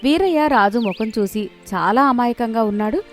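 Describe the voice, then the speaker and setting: A woman's voice: fairly high pitch, expressive, moderate pace. A woman narrating a scripted moral story. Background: faint background music.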